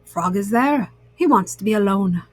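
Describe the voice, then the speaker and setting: Woman as an earnest, anxious storybook toad, lowered voice. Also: expressive, moderate pace, no room sound, lightly acted. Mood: worried. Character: earnest, anxious male toad, devoted best friend.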